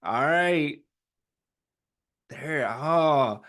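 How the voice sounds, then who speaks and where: A man's voice: on the high side, lively intonation, drawn-out words, fairly bright tone. A man hosting a children's story time, reading aloud and chatting to young listeners in an encouraging way, often asking questions and answering them himself.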